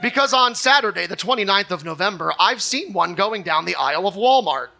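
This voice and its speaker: A man's voice: high-pitched, expressive, brisk, gravelly, bright, forceful tone. A man hosting a live comedy show, joking through banter and theatrical readings of online comments. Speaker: comedy show host and performer.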